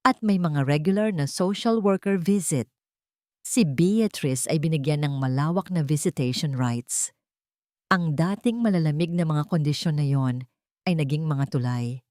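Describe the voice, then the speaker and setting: A woman narrates a scripted drama as an audiobook reader. Her pitch is a little lower than most women's. The recording is dry, with very little room sound. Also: a little slow, mellow, no room sound.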